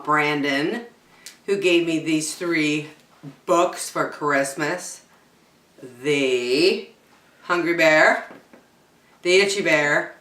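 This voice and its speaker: A woman's voice: low-pitched, fairly bright tone. A middle-aged woman reading picture books aloud expressively for young children, in a roomy, echoing home recording.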